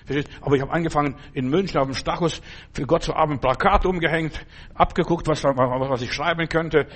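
An older man delivers an informal sermon in German, which is not his native language. His voice sits a little high, and he talks chattily, often checking in with "verstehst du?" and stumbling over restarts. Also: brisk, fairly bright tone. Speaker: street evangelist preacher.

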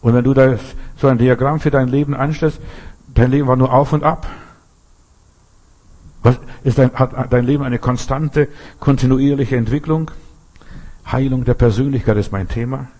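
Husky-voiced man preaching a sermon. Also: medium pitch, fairly even intonation, normal speed, pauses often, dark tone. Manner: emphatic, rhetorical questions, frequent restarts.

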